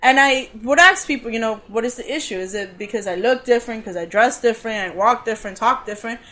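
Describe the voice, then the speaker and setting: A woman from Cuba tells a personal story as a podcast guest. Her voice sits a little high, and she talks earnestly and casually, filling pauses with uhs. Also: pushed and bright. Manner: earnest, talks things through with many uhs.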